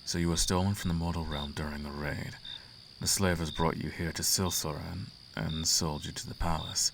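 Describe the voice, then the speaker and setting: Man playing a deep, gravelly, seductive demon prince. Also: fairly even intonation, background noise. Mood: curious, flirtatious. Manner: teasing, silky, grandly formal, drawn-out emphasis. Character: suave, flirtatious, imperious male demon prince. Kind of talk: roleplay ASMR, intimate theatrical monologue. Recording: clear outdoor cricket ambience behind.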